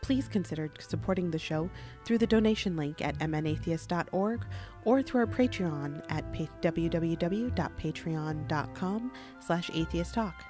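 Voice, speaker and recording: Lower-voiced woman hosting radio over music. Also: expressive, moderate pace, a little mellow. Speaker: radio show host. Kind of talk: scripted show sign-off. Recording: music under the voice.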